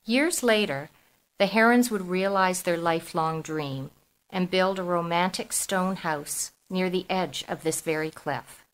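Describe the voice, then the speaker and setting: A woman's voice: fairly low pitch, unhurried, rough recording. A woman from Toronto with a Canadian accent, speaking as an author presenting her writing. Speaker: author, podcast guest. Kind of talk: reading prose and talking about her book.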